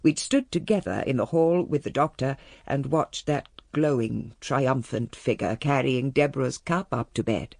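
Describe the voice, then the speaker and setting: An actress playing a reserved lady of the house with an upper-class English accent, measured and politely guarded in a radio drama. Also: a bass voice, vocal fry, darkish tone, no echo, clean studio sound, exaggerated voice. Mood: reflective. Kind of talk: radio drama, first-person narration and dialogue.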